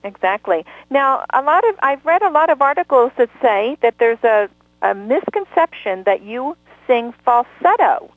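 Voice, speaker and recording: A woman's voice: sits high, very animated, moderate pace, no room sound. A woman hosting a live radio interview, effusive and gushing with praise. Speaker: radio host. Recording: tinny phone-line sound.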